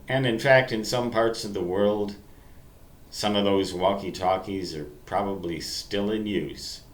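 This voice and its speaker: A man in his sixties telling rambling radio-hobby reminiscences in a deliberate, emphatic way, wandering into asides. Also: lowish voice, fairly bright tone. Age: 60s. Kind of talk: rambling storytelling monologue to listeners. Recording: roomy, echoey sound.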